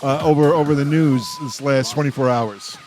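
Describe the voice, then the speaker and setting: A man's voice: mid-range voice, moderate pace, a little mellow, soft background music. Jovial man hosting a radio roundtable. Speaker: radio show host. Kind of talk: casual roundtable interviewing.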